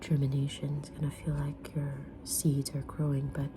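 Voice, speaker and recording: A woman whispering softly in an ASMR roleplay as a kind, mysterious witch reading tarot, with a gentle, soothing delivery. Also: deep voice, monotone, background noise. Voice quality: breathy unvoiced whisper. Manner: gentle, soothing, unhurried fortune-teller delivery. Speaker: ASMR creator playing a tarot reader.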